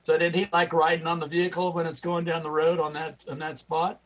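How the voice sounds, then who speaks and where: A man's voice: fairly high pitch, flat delivery, brisk. A man hosting a club meeting over a video call, who jokes and rambles as he talks. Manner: joking, rambling. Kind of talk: casual video call chat. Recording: tinny call audio.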